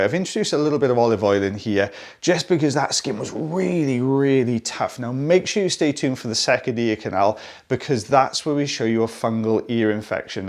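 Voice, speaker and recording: A man with a Welsh accent, an audiologist narrating an ear procedure in a friendly, explanatory way and turning aside to talk to viewers. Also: mid-range voice. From South Wales. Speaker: audiologist presenting ear wax removal.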